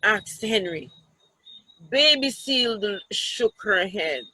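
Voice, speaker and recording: Woman, bright voice, very slow pace, children's storytime reading, deliberate, dry sound. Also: mid-range voice, animated, slightly rough, dry recording, poor audio. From Jamaica. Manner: deliberate, pausing to explain pictures and question children. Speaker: storytime reader, teacher. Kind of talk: reading a picture book aloud to children.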